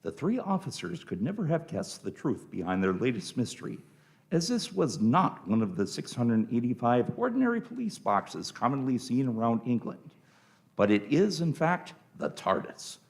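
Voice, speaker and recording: A man narrating a scripted radio play in a theatrical, deliberate way. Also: medium pitch, very animated, vocal fry, a little mellow.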